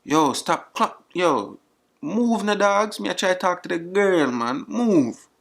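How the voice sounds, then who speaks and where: A man speaks in thick Jamaican patois over a webcam video chat, his voice gravelly with plenty of fry. He talks in a playful, flirty way, packed with slang. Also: high voice, expressive, slow, fairly bright tone, a little hiss or hum. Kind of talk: random video chat banter. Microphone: webcam video call.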